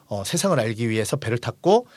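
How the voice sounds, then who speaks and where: A man's voice: mid-range voice, big pitch swings, fast talker, darkish tone, no room sound. A man in his forties hosting a book discussion, explaining ideas conversationally and emphatically. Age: 40s. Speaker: host of a book discussion show; restaurant owner.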